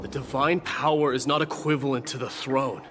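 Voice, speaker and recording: A man's voice: medium pitch, fairly even intonation, normal speed, over music, lightly acted. A man playing a solemn, proud warrior prince in a video game, respectful and restrained, speaking formally. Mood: guarded.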